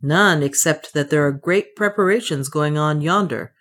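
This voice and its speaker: A woman's voice: low-pitched, normal speed, a bit bright. A woman reading a resolute Englishman. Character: adult Englishman Leonard, steady and determined.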